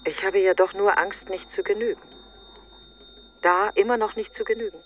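A woman's voice: mid-range voice, steady tone, normal speed, a little hiss or hum. An actress playing a grieving, self-doubting woman in a literary radio monologue, in short introspective fragments through a narrow filter. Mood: anxious. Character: grieving woman afraid of not being enough. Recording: thin, phone-like narrow band, very dry.

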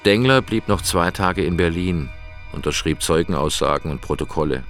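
A man's voice: deep voice, lively intonation, normal speed, a bit bright, faint music behind. A man narrating a radio drama in scripted storytelling prose.

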